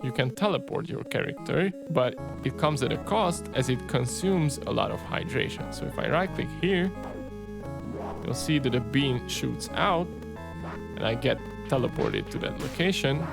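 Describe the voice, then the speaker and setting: Hungarian guy in his 30s, gravelly voice, laid-back devlog chat, lots of "right?" and "you know". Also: medium pitch, normal speed, music in the background. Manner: relaxed and chatty, peppers speech with "right?" and "you know". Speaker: game developer and channel host. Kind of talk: casual devlog narration over gameplay.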